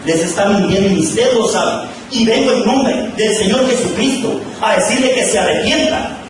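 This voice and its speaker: A man's voice: high-pitched, moderate pace. A man from Guatemala preaching fiercely and accusingly as he confronts a speaker at a public event. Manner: fiery, accusing, often shouting. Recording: distant, roomy, noisy live recording.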